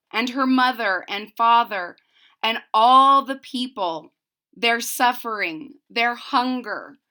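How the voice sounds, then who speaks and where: A woman reading a children's story aloud expressively and deliberately, pausing to explain it simply to young listeners. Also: fairly high pitch, sharp tone. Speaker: storybook reader.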